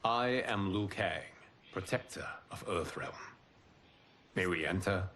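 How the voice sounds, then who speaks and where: Calm solemn god for a video game, kinda low, very formal, bit of ambient noise. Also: male voice, slow pace. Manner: formal, stately, grave. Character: calm, solemn male fire god, protector of the realm. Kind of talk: video game cutscene acting.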